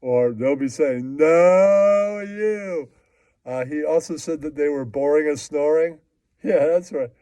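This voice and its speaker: A man's voice: a little high, slow, warm tone, no echo. A man voicing a slangy, boastful, foul-mouthed puppet who repeats catchphrases in comic puppet show banter. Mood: mock-earnest.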